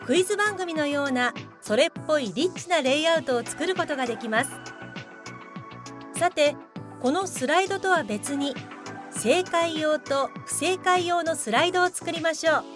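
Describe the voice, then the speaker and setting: A woman playing a polite explanatory narrator who presents scripted software tutorials in a radio drama. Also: high-pitched, normal speed, over music, close to an everyday voice. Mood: helpful. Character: bright instructional narrator of software tips.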